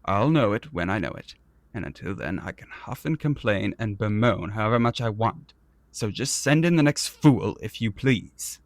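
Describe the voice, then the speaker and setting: An actor playing an arrogant, vain and petulant prince in a fantasy audio roleplay, speaking in a pompous, theatrical and condescending manner. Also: male voice, on the low side, animated, moderate pace, no room sound. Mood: petulant, indignant. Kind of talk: scripted ASMR roleplay audio drama.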